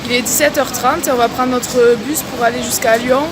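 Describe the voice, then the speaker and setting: A young woman student announcing a trip departure to the camera in a brisk, upbeat way. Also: on the high side, steady tone, noisy background. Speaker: student traveler. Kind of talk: travel vlog announcement.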